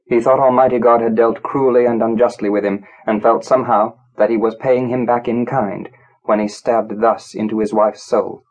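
A man narrating a literary story from a script, in a measured, grave, dramatic storytelling manner. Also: mid-range voice, fairly even intonation, rough recording. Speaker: audiobook narrator.

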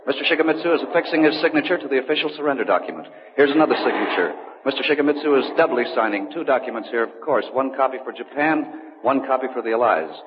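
A man's voice: medium pitch, expressive, fast. A man reporting as an eyewitness in 1940s radio style, narrating each step in the present tense. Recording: noisy, dull 1940s radio with reverb.

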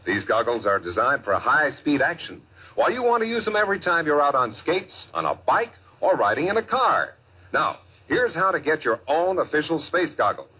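A man's voice: a little high, very animated, normal speed, pushed and bright, breathy edge. Male 1950s commercial announcer for kids: swooping, enthusiastic delivery, emphatic, muffled old radio sound. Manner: emphatic, addresses young listeners. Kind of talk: 1950s children's radio advertisement, enthusiastic pitch. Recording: dull vintage radio recording.

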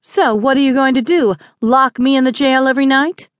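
Woman playing a high-pitched, haughty young schoolmarm. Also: lively intonation, normal speed, no room sound, muffled recording. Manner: defiant, indignant, haughty. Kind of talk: acted audiobook dialogue.

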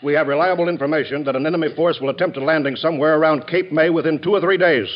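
An actor playing a blustery, hard-pressed American army general in classic radio drama style, exclaiming with emphasis. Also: male voice, a little high, rapid-fire, natural voice. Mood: urgent, blustering. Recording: dull vintage radio recording.

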